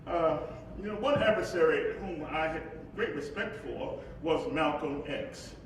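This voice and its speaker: Civil rights preacher, eloquent, slow oratorical delivery, reflective, stage play, distant echoing hall. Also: male voice, a little high. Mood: reflective, wry. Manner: measured, deliberate, preacherly cadence. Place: live performance in an echoing hall. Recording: very echoey hall, distant mic, faint noise.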